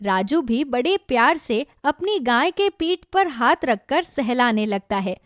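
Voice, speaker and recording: Female narrator for cartoon tales, high voice, scripted storytelling, very dry recording. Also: sing-song, a little slow, no room sound.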